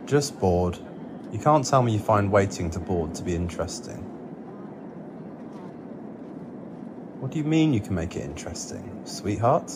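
A man's voice: on the low side, animated, a little fast. A man playing an exasperated, flustered boyfriend in an ASMR audio drama, weary and pleading with his sweetheart. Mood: wry, exasperated. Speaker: voice actor in an audio roleplay. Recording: clear cabin noise behind the voice. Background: vehicle and cabin ambience.

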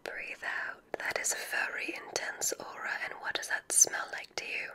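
A woman whispering softly in an ASMR roleplay as a kindly, formal witch examiner, giving gentle, soothing instructions. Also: normal speed. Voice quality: soft unvoiced whisper.

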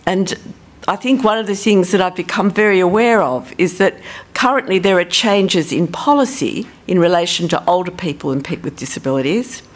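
Australian woman, low voice, conference speaker, relaxed and chatty. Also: fairly quick. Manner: relaxed, self-deprecating, thinking aloud with fillers. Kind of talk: conference presentation.

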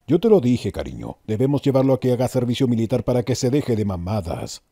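A man's voice: medium pitch, very animated, quick pace, warm tone, dry recording, clean studio sound. A man playing a father and husband in a comedic anime parody dub. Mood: smug. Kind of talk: comedic fandub parody acting.

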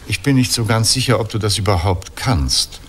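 An actor playing a mature, gently ironic Greek hero and husband in a radio play, courtly and sometimes halting. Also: male voice, on the low side, expressive, slight background noise. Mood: wry.

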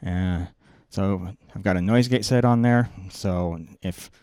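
Low-pitched middle-aged man explaining tech. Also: takes it slowly, a little mellow, clean tone, no echo, studio quality. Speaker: video production engineer giving a tutorial. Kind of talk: informal technical walkthrough. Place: small indoor production control room. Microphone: close boom-mounted microphone.

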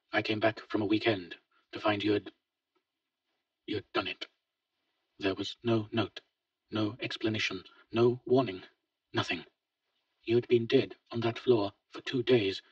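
Brief: male reader as a shaken grown son, husky, quiet, heard through a distant lo-fi mic. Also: fairly low pitch, fairly even intonation, measured pace, long pauses, no room sound, voice pushed up. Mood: pleading.

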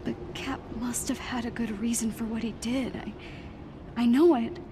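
Woman playing an earnest teenage superhero girl, higher-pitched. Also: fairly even intonation, noisy background, natural voice. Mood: earnest, pleading. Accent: American. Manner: earnest, halting, heartfelt. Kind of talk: dramatic game cutscene acting.

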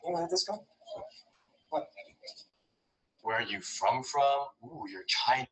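A young man acting in a comedy sketch, then speaking directly to the viewer in an animated, exaggerated way. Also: mid-range voice, slow pace, poor audio. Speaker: sketch actor.

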